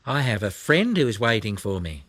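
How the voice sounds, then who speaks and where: A man voicing a helpful, confident female wallaby with a gentle, reassuring manner in a storybook. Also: lowish voice, very animated, normal speed, dry recording. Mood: gentle, bright. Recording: music behind the voice.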